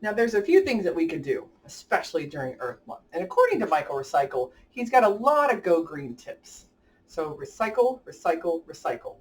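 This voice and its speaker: A woman's voice: on the low side, sing-song, brisk. Enthusiastic woman hosting children's story time, distant mic. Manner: enthusiastic, addressing children directly. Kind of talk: picture-book read-aloud and chat for children.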